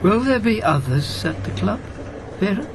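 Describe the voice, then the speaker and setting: A male former wartime colleague in a radio drama, soft but pointed in his questioning. His fairly high voice rises and falls freely, with clear vehicle noise behind it. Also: big pitch swings, moderate pace, full character voice. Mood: probing, reproachful.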